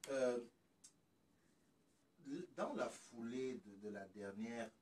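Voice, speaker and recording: A man's voice sounds somewhat away from the microphone, with slight noise. He hosts in a casual conversational style. His delivery is halting and roundabout, often restarting before he reaches the point. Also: medium pitch, a little mellow. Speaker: host. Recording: distant mic with noise.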